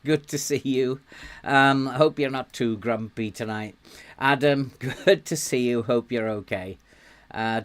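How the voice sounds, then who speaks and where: A man live-streaming a management game, thinking aloud with frequent ums and soft chuckles while greeting viewers; he has a sore throat and is losing his voice. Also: medium pitch.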